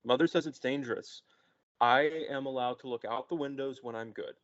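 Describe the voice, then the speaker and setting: A man's voice: medium pitch, moderate pace, a bit bright, dry recording, close to an everyday voice. Sheltered adult son, read by a man: naive, obedient, short simple replies, dry slightly muffled sound. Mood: meek, naive. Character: childlike, obedient thirty-six-year-old sheltered son. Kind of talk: script table read.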